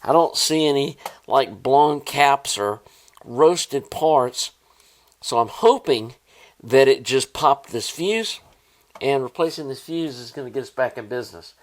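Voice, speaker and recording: A man's voice: medium pitch, a little slow. A man giving an informal, hands-on repair walkthrough in an unscripted, conversational way, like a hobbyist presenter.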